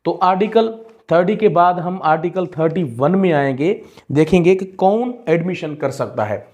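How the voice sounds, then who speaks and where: Slow-paced Pakistani man giving a lecture. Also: high-pitched, animated, darkish tone. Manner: didactic, repeats key phrases slowly. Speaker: law lecturer. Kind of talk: explanatory law lesson mixing Urdu and English.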